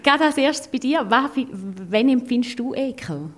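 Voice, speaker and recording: A woman's voice: sits high, animated, normal speed. A woman with a Swiss German accent, hosting a podcast interview in a casual, chatty style. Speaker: podcast host.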